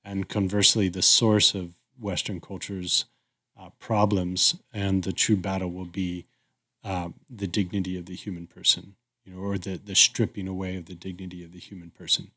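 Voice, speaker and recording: Low-pitched, measured male podcast host. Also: level tone, bright, forceful tone. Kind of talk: reflective discussion.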